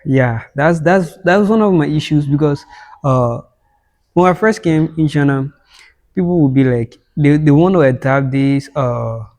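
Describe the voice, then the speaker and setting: A man's voice: a little high, a little slow, a little mellow. A young man from Liberia, talking casually on a podcast and agreeing often with his host. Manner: agreeable, chimes in with short agreements.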